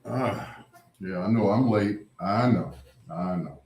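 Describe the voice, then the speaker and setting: A man hosts a casual livestream in an echoey room. He talks slowly, with a pitch that swings up and down freely. He rambles, repeats himself and draws out his greetings to viewers. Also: expressive, darkish tone, a little hiss or hum. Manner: unhurried, rambling, repeats phrases, drawn-out greetings. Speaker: livestream host. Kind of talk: casual chat with live viewers. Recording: roomy, echoing sound.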